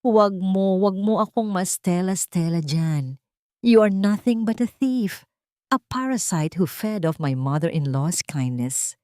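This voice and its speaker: A woman's voice: lowish voice, expressive, measured pace, a little mellow, no echo, studio quality. A woman voicing a cruel, haughty rich daughter-in-law with sneering, contemptuous commands in a dramatic story. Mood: furious accusing.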